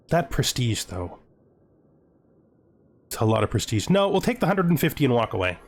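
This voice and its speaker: A man giving casual let's-play commentary on a strategy game, talking through his decisions to himself and often checking them with a questioning tag. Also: medium pitch. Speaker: gaming video host playing a strategy game.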